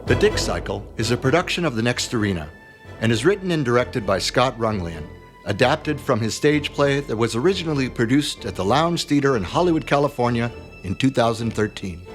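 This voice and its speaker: A man announcing the closing credits of a radio play in an even, formal tone. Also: medium pitch, fairly quick, soft background music. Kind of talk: scripted credits reading.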